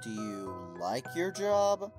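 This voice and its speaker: Female question reader for comic dub, slow delivery, extremely animated intonation. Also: very deep voice, music in the background.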